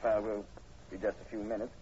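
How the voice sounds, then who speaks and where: An actor playing a plain-spoken state police sergeant, halting and matter-of-fact, in 1940s radio horror style. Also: male voice, lowish voice, flat delivery, lightly acted. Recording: dull, noisy old radio broadcast recording.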